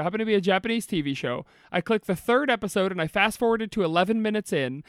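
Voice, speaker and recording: Podcast host, male, Midwestern American, high voice with lively swings, teasing and conversational, recorded dry and close. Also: dry recording. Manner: joking, teasing. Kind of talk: casual comedic banter.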